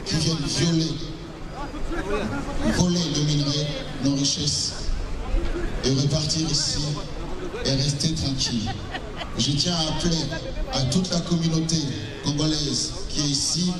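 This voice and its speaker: A man speaks for a youth association at a rally, declaiming in short, forceful phrases in a slightly raised voice. He is picked up from a distance in a long, echoing space, with a crowd audible behind. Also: a little high, fairly even intonation, unhurried, long pauses. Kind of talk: impassioned protest speech. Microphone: far from the mic. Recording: distant echoey hall with crowd.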